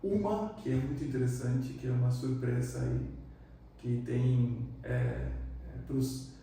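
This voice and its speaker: A man from Argentina, speaking as a psychiatrist guest, talks in a relaxed, reflective way. His voice is dark and mellow. It comes from a distance in a very echoey room with faint noise behind. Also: medium pitch, unhurried, creaky. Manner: relaxed, reflective, many fillers. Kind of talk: casual interview chat.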